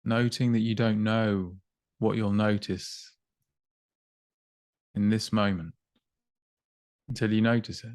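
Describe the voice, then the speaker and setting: A man guiding a body scan meditation in an unhurried, gently instructive way, with long pauses between short phrases. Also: fairly low pitch, fairly even intonation, dry recording, studio quality. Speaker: meditation guide.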